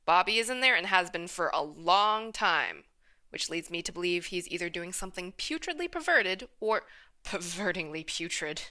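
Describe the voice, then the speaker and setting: A woman's voice: fairly low pitch, lively intonation, moderate pace, bright, forceful tone. An actress playing a haughty, sarcastic female manager in a radio play, with a withering, ornate way of speaking. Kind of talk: radio drama acting.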